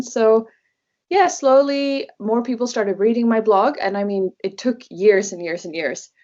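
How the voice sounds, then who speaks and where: A woman's voice: mid-range voice, a little mellow, muffled recording. A young woman from Norway, a native Norwegian speaker, answering interview questions conversationally in English as a travel blogger guest.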